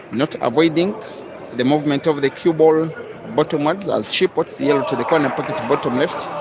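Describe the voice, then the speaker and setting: A man from East Africa giving live, measured commentary on a pool match, with sudden excited rises. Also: medium pitch. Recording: loud crowd and noisy outdoor venue.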